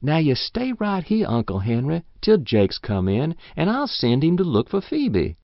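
A woman's voice: extremely low pitch, huge pitch swings, unhurried, vocal fry, no echo, pitched above the performer's own voice, exaggerated voice. A man voicing a stout, kindly old farm wife who fusses over a guest in rural speech. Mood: fussing. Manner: fussing, sympathetic, rural speech. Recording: dull lo-fi audiobook recording.

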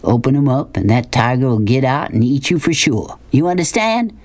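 A woman reading a storybook as a gruff, mocking, bullying rural man. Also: very deep voice, big pitch swings, moderate pace, darkish tone, full character voice. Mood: pushy, instructing. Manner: folksy, pushy, mocking.

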